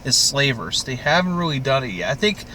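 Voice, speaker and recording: A man's voice: mid-range voice, big pitch swings, fairly quick, bright, forceful tone, slight background noise. A man from Canada co-hosting a podcast, chatting casually about TV in an exasperated, sweary, ranting way. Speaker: podcast co-host. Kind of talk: casual TV recap chat.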